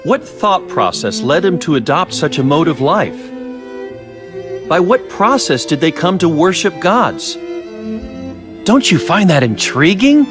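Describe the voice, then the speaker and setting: An actor playing a genial, sly middle-aged scientist in a video game, talking in a smooth, professorial, theatrical way. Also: male voice, on the high side, very animated, measured pace, music in the background. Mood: lecturing, intrigued. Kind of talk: video game cutscene acting, expository dialogue.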